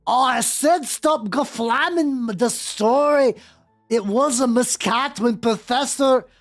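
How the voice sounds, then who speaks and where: A woman's voice: sits high, voice pushed up. A woman voicing a petulant, defensive teenage girl fanfiction author in a whiny, indignant way, in a comic read-aloud.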